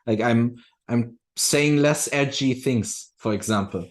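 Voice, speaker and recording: A man with a mid-pitched voice talks in a casual, conversational way. He is reflective and halting, often doubling back to restart a phrase before he finishes the thought. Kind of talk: casual discussion.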